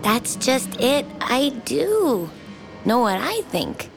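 A woman playing a warm, flirty, persistent diner waitress in a naturalistic audio drama, with a folksy, coaxing way of talking. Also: high-pitched, big pitch swings, slow, vocal fry, music in the background, full character voice. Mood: concerned.

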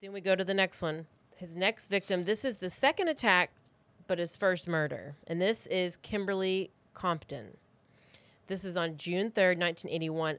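A woman podcast co-host telling a true crime story in an informal, conversational way, with halting asides. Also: lowish voice, a bit bright, no echo.

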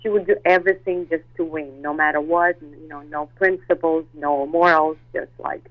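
Woman, halting, lo-fi. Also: low voice, steady tone, dry recording. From Russia. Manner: hesitant, many fillers and restarts, laughs easily. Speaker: actress and model guest. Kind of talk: interview chat.

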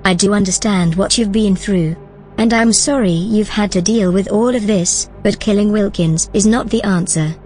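A woman's voice: mid-range voice, a little mellow, faint music behind, lightly acted. Composed female AI, played by an actress. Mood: firm, disapproving. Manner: composed, measured, formal. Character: calm, principled female artificial intelligence. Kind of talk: audio drama acting.

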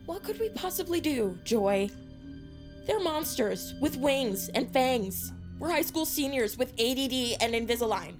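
A woman playing a defensive, sarcastic, vain high school girl in an audio drama. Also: lively intonation, a little slow, music in the background.

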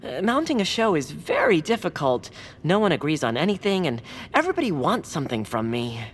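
An earnest amateur stage director in a comic audio drama. The delivery is hesitant and self-doubting, with a lively, expressive pitch. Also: female voice, a little fast, a little hiss or hum. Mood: hesitant, dejected. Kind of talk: scripted comedic audio drama acting.

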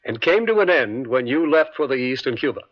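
An actor playing an insistent district attorney in an old radio drama, questioning in a deliberate, theatrical way. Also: male voice, mid-range voice, big pitch swings. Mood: probing, pointed. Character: probing male district attorney, insistent and pointed. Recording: dull lo-fi vintage radio.

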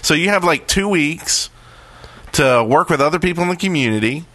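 A man's voice: a little high, animated, moderate pace, a bit bright, no room sound. A man hosting a podcast, giving casual announcements and banter. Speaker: podcast host.

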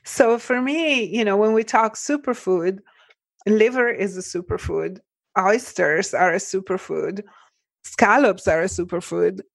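A woman's voice: mid-range voice, a little slow, creaky, no echo. A middle-aged woman with an Italian accent speaks earnestly as an interview guest, often restarting her sentences. Her voice sounds dry and close, with no room around it. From Italy. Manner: earnest, many "like" and "you know" fillers, frequent restarts. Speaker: nutrition practitioner guest. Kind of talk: podcast interview.

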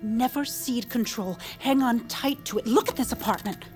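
An actress playing a faded rich divorcée in an audio drama, dramatic, chummy and confiding. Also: female voice, fairly high pitch, moderate pace, music in the background, lightly acted. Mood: conspiratorial, wounded. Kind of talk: audio fiction drama acting.

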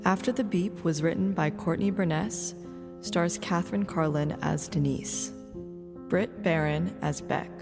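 Low, creaky woman reading scripted credits. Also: measured pace. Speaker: credits announcer. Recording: long reverb, music behind.

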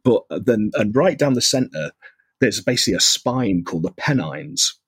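Mancunian man, podcast guest, husky and dry, rambling and chuckling. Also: on the low side, expressive, moderate pace, a bit bright, dry recording. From northwest England. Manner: rambling, laughs often, many fillers and restarts. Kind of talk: casual chat.

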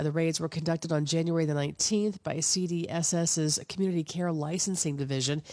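A woman's voice: sits low, darkish tone, no echo. Stuffed-up woman reading radio news. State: stuffed up with a cold. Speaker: radio news reader and co-host. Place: radio studio.

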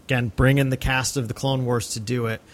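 A man's voice: medium pitch, level tone, a little fast. A male podcast host talking casually and earnestly about film, with an enthusiastic manner.

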